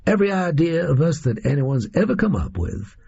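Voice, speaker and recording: Brash schemer, performed by a male reader, breezy storybook villain, gravelly, slightly muffled recording. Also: a little high, sing-song, brisk, dark tone, voice pushed up, exaggerated voice. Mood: gleeful boasting. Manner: breezy, slangy, chatty. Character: brash American man from fiction, gleeful cocky schemer.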